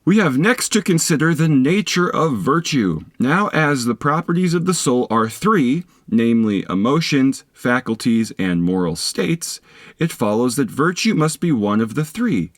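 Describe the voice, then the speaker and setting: A man reading a philosophy text aloud as an audiobook narrator, in a measured, didactic manner with deliberate phrasing. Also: mid-range voice, very animated, no room sound, clean studio sound. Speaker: audiobook reader.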